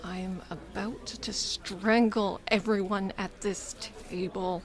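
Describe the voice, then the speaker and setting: A woman's voice: medium pitch, fairly even intonation, slow pace, close to an everyday voice. A woman playing an exasperated, sarcastic sister in a space biker crew, speaking dryly in an audio drama. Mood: irritated, skeptical. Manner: dry, sarcastic. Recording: faint music under the voice.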